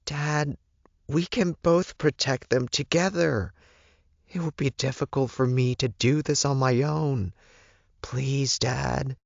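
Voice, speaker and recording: Male narrator as frightened little girl, much raised pitch, pleading, audiobook drama, lo-fi dry sound. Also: fairly even intonation, slow, vocal fry, no room sound. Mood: desperate pleading. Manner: pleading, distressed. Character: frightened, pleading young girl, devoted daughter.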